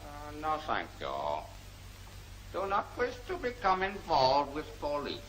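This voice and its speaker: An actor playing a polite, cautious Chinese shopkeeper in an old film serial, speaking in halting, formal sentences with dropped words. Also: male voice, a little high, wildly swooping pitch, fairly bright tone, heavily acted. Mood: wary, hesitant. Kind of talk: 1940s film serial acting. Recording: noisy old film soundtrack.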